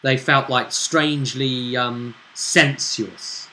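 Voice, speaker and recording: Bright-voiced man recapping a tabletop game. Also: mid-range voice, fairly even intonation, slow pace, slight background noise, poor audio. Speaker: game master, host of a role-play vidcast. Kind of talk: casual recap of a tabletop role-play session.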